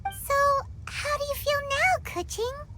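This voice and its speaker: A woman voicing a tiny, excitable female fairy companion in a game cutscene, telling a story in a playful, animated way. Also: takes it slowly, very noisy. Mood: storytelling. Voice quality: high squeaky childlike voice. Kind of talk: video game cutscene dialogue. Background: loud game music.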